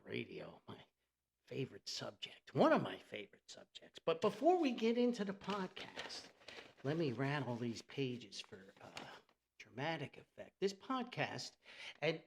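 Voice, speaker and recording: A man's voice: mid-range voice, vocal fry. An American man from New Jersey hosting a solo podcast in a chatty, theatrical, folksy way, with exclamations and words repeated for emphasis. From New Jersey, United States. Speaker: podcast host. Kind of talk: chatty solo podcast monologue with sponsor reads.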